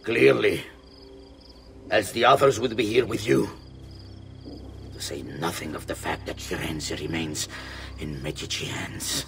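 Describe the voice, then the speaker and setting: An actor playing an imperious, contemptuous conspiracy leader in a video game, theatrical and menacing. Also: male voice, deep voice, fairly even intonation, measured pace, gravelly, long pauses, recorded from a distance, background noise. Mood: cold contempt. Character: commanding, contemptuous male villain, hooded conspiracy leader. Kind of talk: dramatic video game acting.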